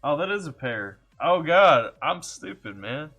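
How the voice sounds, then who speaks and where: A man's voice: fairly high pitch, big pitch swings, a little slow, pushed and bright, faint music behind. A man from Kentucky with a Southern accent, chatting casually on a live stream, laid-back and jokey, greeting viewers by name. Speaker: music reaction streamer. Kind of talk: casual live-stream chat, reading chat aloud. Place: home computer setup.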